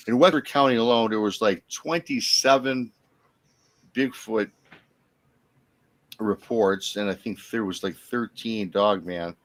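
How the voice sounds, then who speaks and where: An American man chatting casually as a podcast guest, halting and circling back as he talks. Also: fairly low pitch, a bit bright. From Michigan, United States. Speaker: guest, Bigfoot researcher and podcaster. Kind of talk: casual conversational podcast talk. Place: remote livestream call.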